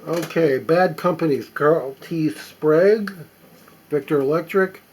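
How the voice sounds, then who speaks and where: A man casually talking to himself as he sorts a stack of old records, reading the labels aloud in a halting, musing way. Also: fairly high pitch, darkish tone, breathy edge. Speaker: record collector. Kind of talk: casual running commentary while sorting records.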